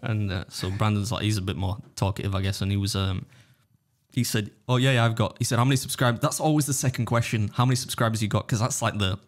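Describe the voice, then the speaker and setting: A man's voice: on the low side, fast. A man with a Yorkshire accent chatting casually on a podcast, telling anecdotes in a rambling, self-deprecating way. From Britain. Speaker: competitive eater and video creator.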